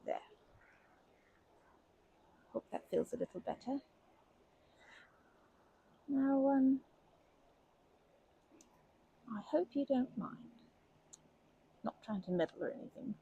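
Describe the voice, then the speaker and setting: A woman playing a kindly, protective grandma in an ASMR comfort roleplay, speaking gently and hesitantly with fond pet names. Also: sits high, lively intonation, slow pace, pauses often, phone-quality sound, heavily acted. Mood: gentle concern. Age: older. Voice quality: creaky, husky.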